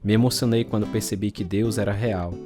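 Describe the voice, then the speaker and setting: A man's voice: on the low side, steady tone, a little fast, music in the background, natural voice. An actor playing a troubled recovering alcoholic man, narrating his life story in an earnest, confessional way. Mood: somber, reflective. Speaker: narrator and protagonist. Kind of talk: dramatized testimony narration with acted scenes.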